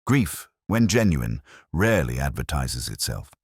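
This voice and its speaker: A man playing a weary, melancholy police inspector who narrates his case in measured, literary first-person prose. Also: rumbling low, big pitch swings, husky, dry recording, studio quality, heavily acted. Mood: brooding.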